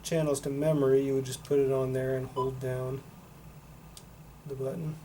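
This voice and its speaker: A man's voice: medium pitch, level tone, moderate pace, mellow. A man giving a casual, unscripted product demonstration in a matter-of-fact, explanatory way. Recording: noticeable background noise.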